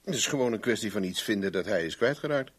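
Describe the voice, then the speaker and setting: Wary, dry private investigator. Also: male voice, fairly low pitch, expressive, fast, breathy edge. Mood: guarded, evasive. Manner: casual, guarded, probing with short questions. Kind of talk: Dutch radio play acting.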